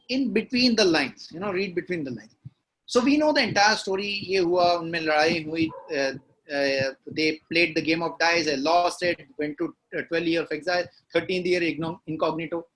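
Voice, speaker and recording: A man's voice: high-pitched, moderate pace, fairly bright tone, slight background noise, low-quality recording. Indian man telling stories reflectively. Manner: reflective storytelling, slipping into Hindi. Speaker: guest novelist. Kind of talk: conversational interview.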